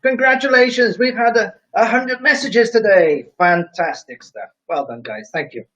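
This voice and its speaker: Stream host, man, faint Welsh-English accent, high voice, jokey quizmaster on muffled stream audio. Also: expressive, moderate pace, a bit bright. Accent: faint Welsh mixed with English. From Wales. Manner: chatty and jokey, quizzing viewers. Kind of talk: casual live-stream chat reading out viewer comments.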